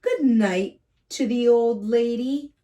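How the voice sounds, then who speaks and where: A woman reading a picture book aloud to young children as a teacher, speaking to them directly in simple, gentle phrases. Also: a little high, slow pace. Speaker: kindergarten read-aloud teacher and host. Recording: slightly distant microphone.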